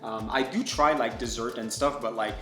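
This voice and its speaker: Québécois-accented man, 30s, relaxed vlogger chat, echoey room, faint music. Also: mid-range voice, moderate pace. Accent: Québécois French. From Quebec, Canada. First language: French. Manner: easygoing, laughs often, says "like" a lot. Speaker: travel vlogger. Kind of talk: casual chat.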